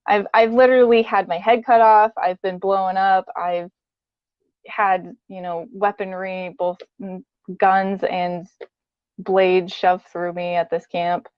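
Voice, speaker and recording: A woman's voice: medium pitch, no room sound, muffled recording. A woman giving a casual, matter-of-fact personal account as an interview guest.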